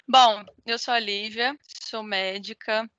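A woman, a doctor, speaking as a podcast guest in a soft, hesitant and candid way. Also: fairly high pitch, fairly even intonation, slow pace, no echo. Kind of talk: casual chat. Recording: dry, slightly muffled sound.

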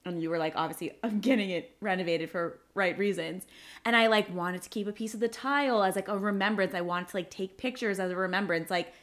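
A woman's voice: mid-range voice, fairly quick. A woman in her late twenties hosting a casual podcast chat, talking loosely with lots of "like" fillers. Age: 20s. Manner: chatty, many "like" fillers. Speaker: podcast host.

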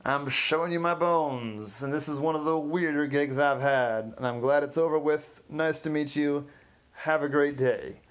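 A man voicing a goofy costumed lion-telegram performer who booms slowly and roars. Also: lightly acted. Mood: cheerful. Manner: booming, drawn-out, growling "rawr".

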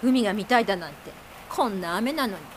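An actress in a radio drama playing a sarcastic, resentful middle-aged daughter who speaks bluntly and combatively. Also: female voice, mid-range voice, lively intonation, brisk, slight background noise, lightly acted. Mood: sardonic. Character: sharp-tongued, resentful grown daughter, a screenwriter. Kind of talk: Japanese radio drama acting.

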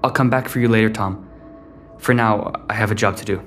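An actor playing an anxious but determined teenage boy in an audio drama, earnest and a little hushed. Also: lowish voice, steady tone, fairly quick, faint music behind, natural voice. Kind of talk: audio drama monologue and dialogue. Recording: long reverb, faint background noise.

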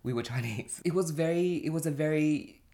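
A guest from Cambodia, telling stories in relaxed casual chat with laughter and restarts. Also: medium pitch, fairly quick.

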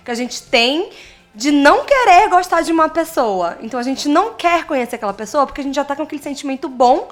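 A woman's voice: sits high, animated, fast, soft background music. A woman from Maranhão with a Brazilian accent, chatting casually as a podcast guest and explaining things playfully, like a mock expert. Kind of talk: casual chat.

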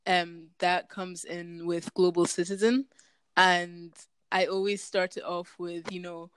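Young woman with smooth, even voice. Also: on the low side, measured pace, no echo. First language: German. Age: young adult. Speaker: podcast guest, university student. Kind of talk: casual interview answers.

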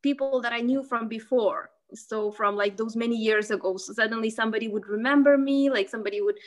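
A young woman from Lithuania talks through her story in a casual podcast interview. Her voice sits a little high. She hesitates often, circling back with fillers and restarts as she thinks aloud. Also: brisk. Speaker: podcast guest.

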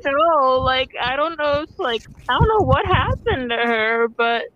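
Giggly teen girl guest, kinda high voice, audio a bit muffled. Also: animated, normal speed, a bit bright, slight background noise. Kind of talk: casual chat.

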